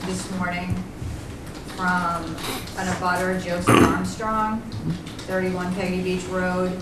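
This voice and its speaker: A woman's voice: lowish voice, level tone, takes it slowly. A woman working as a conservation agent, giving businesslike recommendations at a town meeting. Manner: informative, businesslike. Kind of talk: public meeting discussion. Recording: distant, roomy, very noisy meeting broadcast.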